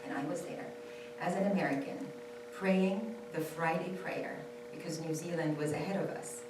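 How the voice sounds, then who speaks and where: A woman's voice: on the low side, moderate pace, background noise. A woman raised in Saudi Arabia, speaking as a panelist at a temple and telling her story in earnest, repeating phrases for stress. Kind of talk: personal testimony at a panel. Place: temple hall. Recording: very echoey hall from far off.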